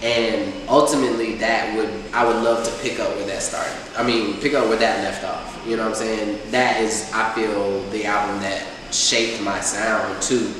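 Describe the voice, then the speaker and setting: A man's voice: on the low side, steady tone, moderate pace, hardly any pauses. A young man from Atlanta, a rapper, chatting loosely in an interview, rambling with lots of filler phrases. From Atlanta, USA. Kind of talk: casual interview chat. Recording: echoey room, far mic, noisy.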